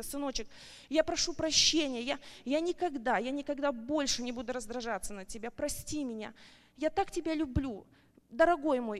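A woman in her thirties shares a heartfelt church testimony, her fairly high voice climbing higher whenever her feelings run strong. The long reverb of a big meeting hall trails behind every phrase. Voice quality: animated and heartfelt, pitch rising high when excited. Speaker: church member giving testimony. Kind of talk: emotional personal testimony.

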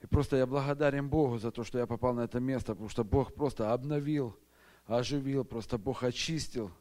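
A man's voice: mid-range voice, a little fast, darkish tone. A man giving an earnest, grateful church testimony in plain everyday words. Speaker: retreat participant giving testimony.

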